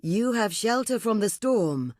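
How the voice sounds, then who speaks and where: A woman's voice: mid-range voice, expressive, unhurried, darkish tone, dry recording, studio quality, lightly acted. A woman playing a proud, imperious ancient desert queen for a video game, speaking in a regal, theatrical, declamatory way. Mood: gracious, approving. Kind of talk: video game diplomacy voice lines.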